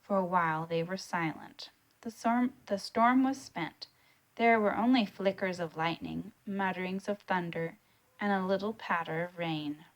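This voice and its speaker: A woman's voice: on the low side, unhurried. Woman reading a storybook aloud. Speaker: storybook reader. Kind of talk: expressive read-aloud narration.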